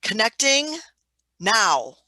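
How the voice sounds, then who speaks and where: A woman's voice: on the high side, big pitch swings, extremely slow, bright, forceful tone, full character voice. A woman playing an obsessive, imperious scientist in a radio play reading, commanding and impatient. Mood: focused.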